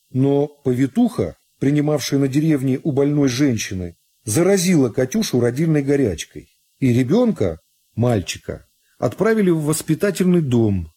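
A man narrating a literary story for a radio drama in a measured, phrase-by-phrase storytelling manner. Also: mid-range voice, warm tone, slightly rough. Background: music.

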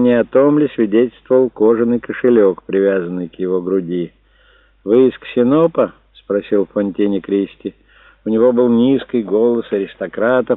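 A man's voice: fairly low pitch, no room sound. A man reading a novel aloud as a dramatic, scripted audiobook narration. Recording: dull narrow phone-like sound.

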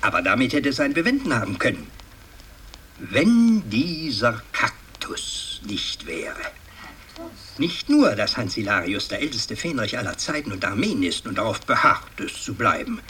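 An actor playing a stern, fussy older aristocratic patriarch who speaks formally and haltingly in a German radio drama. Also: male voice, mid-range voice, sing-song, sharp tone, slightly rough, distant mic, exaggerated voice. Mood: exasperated, indignant. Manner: formal, pompous, halting with hums and pedantic repeats. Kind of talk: radio drama monologue, addressing a family meeting.

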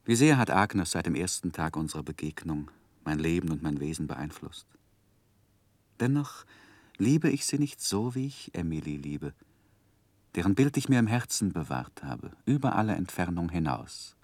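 An earnest young man looking back on his life in a 1960s German radio drama. The voice is low, with strongly rising and falling melody, recorded close and dry. He phrases in a deliberate, literary and reflective way. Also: sing-song, a little mellow, dry recording, heavily acted. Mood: musing, then tender. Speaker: first-person narrator.

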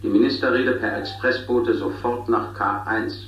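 An actor in a 1970s radio drama, playing a curt official making studio loudspeaker announcements. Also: male voice, fairly low pitch, normal speed, recorded from a distance, a crowd in the background, natural voice. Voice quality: flat, clipped, official. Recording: dull, echoey loudspeaker sound from afar.

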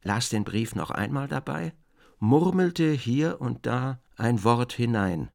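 A male narrator reads literary prose for a radio drama. He takes his time, measured and deliberate, with a marked gravelly creak in a mid-pitched voice. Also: expressive.

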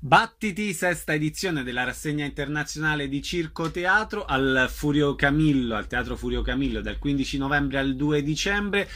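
Bright, forceful male radio host. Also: fairly high pitch, lively intonation, normal speed, hardly any pauses. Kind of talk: conversational arts radio interview.

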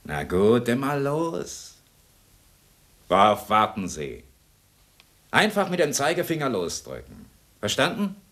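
An actor playing a cool, sardonic escaped convict in a German radio crime drama, mocking and coaxing with cunning politeness. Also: male voice, medium pitch, huge pitch swings, slow pace, pauses often, a bit bright, exaggerated voice. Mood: taunting.

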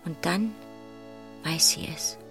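An actress playing a hushed, bleak chorus narrator in a literary radio drama, with a gravelly, breathy voice. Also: female voice, fairly low pitch, slow pace, soft background music.

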